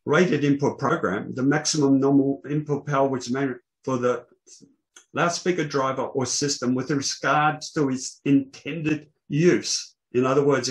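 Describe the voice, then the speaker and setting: A man's voice: a little high, rough recording. Older man giving a technical talk. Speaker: presenter, loudspeaker engineer. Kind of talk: technical presentation to an online meeting. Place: small indoor room, online video call. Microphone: video call microphone.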